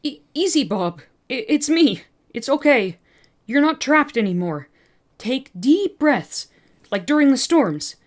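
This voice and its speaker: A woman voicing the listener's protective young adult character, calm and coaxing. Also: high-pitched, a little slow, pitched above the performer's own voice, exaggerated voice. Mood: soothing. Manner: pleading, then soothing and coaxing.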